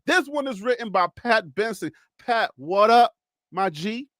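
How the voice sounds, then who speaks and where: A man's voice: high voice, animated, unhurried, fairly bright tone, no room sound, clean studio sound. A man with a Liberian accent hosting a solo sports livestream, joking and repeating himself as he talks to his chat. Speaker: sports show host.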